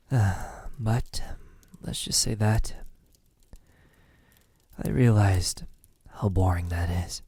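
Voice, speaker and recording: A man playing a possessive, teasing vampire in an intimate ASMR roleplay, speaking softly and breathily with frequent drops into a whisper. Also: fairly low pitch, no echo, heavily acted. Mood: weary, confiding. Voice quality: breathy, often dropping to a whisper. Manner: slow, menacingly tender, teasing. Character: possessive, teasing, lonely male vampire in his castle.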